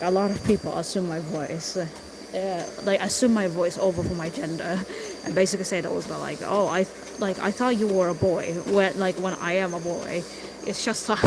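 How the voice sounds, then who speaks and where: A young man with a raspy voice chatting casually while he draws on a stream, rambling with lots of "like" and "you know". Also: high voice. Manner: meandering, full of "like" and "you know". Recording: noisy room ambience.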